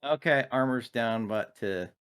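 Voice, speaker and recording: A man's voice: mid-range voice, unhurried, background noise. A man talking casually as a player in a tabletop game, assertively explaining and correcting the rules.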